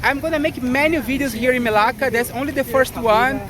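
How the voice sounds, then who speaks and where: High-voiced Brazilian man vlogging outdoors. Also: fairly quick. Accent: Brazilian Portuguese. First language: Portuguese. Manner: chatty, addresses viewers directly. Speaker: travel vlogger. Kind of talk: casual travel vlog. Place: outdoors in a city street. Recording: outdoor vlog with music behind. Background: music.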